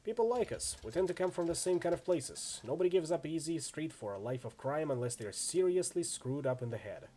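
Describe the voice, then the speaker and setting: A man's voice: fairly high pitch, lively intonation, brisk, hardly any pauses, mellow, husky. A man voicing a friendly, easygoing orc swordsman in a video game, chatty and full of laid-back street slang. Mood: matter-of-fact, casual. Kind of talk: acted reading of role-playing game dialogue.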